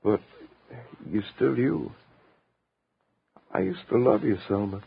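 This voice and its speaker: Embittered lover, slow halting delivery, brooding menace, muffled noisy old radio drama recording. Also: male voice, lowish voice, animated, vocal fry, husky, full character voice. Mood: bitter, brooding. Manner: halting, brooding, broken phrases. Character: embittered, lovesick man menacing the woman who hurt him. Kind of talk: 1950s radio drama acting.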